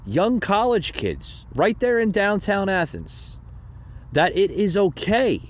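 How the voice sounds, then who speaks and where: A man's voice: high-pitched, very animated, slow pace, highs cut off. A man hosting a solo political commentary podcast, rambling and indignant, with many fillers and restarts. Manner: indignant and preachy. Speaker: podcast host.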